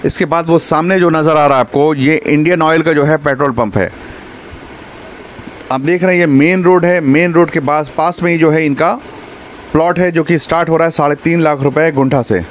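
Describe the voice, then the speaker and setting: A man's voice: fairly high pitch, fairly even intonation, moderate pace, a little hiss or hum. A man in his thirties narrating a walking real estate tour, pointing out sites to viewers in a promotional way. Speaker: property video host. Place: outdoors by roads and at an office.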